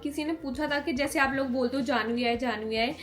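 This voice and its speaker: A woman in her late twenties chatting with her husband on their vlog, lively and answering viewer questions. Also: sits high, fairly quick. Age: 20s. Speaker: vlogger. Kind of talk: casual couple vlog chat.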